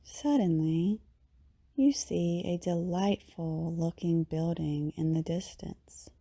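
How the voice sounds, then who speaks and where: Very slow, soothing woman guiding meditation. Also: fairly low pitch, breathy edge. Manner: soothing, unhurried, hushed phrase endings with long pauses. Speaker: yoga teacher, meditation guide. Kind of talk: guided meditation narration.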